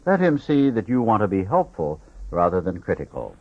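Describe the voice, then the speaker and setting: Low-voiced man reading aloud, very animated pitch. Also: normal speed. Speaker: audiobook reader. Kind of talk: scripted read-aloud narration. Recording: dull, lo-fi audio.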